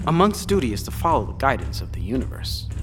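An actor playing a serene, playful space-colony monk in an audio drama, speaking in a lofty, philosophical and teasing way. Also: male voice, medium pitch, big pitch swings, fast talker, natural voice. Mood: playful, sly. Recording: long hall reverb, music underneath.

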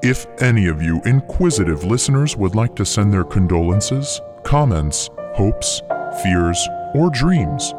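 A man reads a podcast's closing credits in a low, steady, measured voice. Loud music plays beneath his voice the whole time. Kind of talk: reading credits.

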